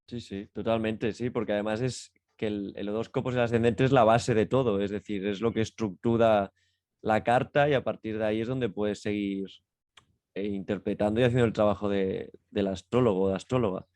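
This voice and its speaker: A man's voice: fairly low pitch, steady tone, fairly quick, darkish tone, dry recording. A man co-hosting a podcast, chatting casually and explaining things, repeating and rephrasing as he goes. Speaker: podcast co-host. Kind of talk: casual conversational podcast talk.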